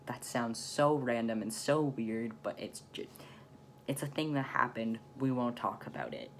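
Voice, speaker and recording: A man's voice: medium pitch, lively intonation, measured pace, slight background noise. A young man giving a casual personal update to his viewers, talking in a chatty, rambling way. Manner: chatty, rambling, drops into whispered asides. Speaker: vlogger.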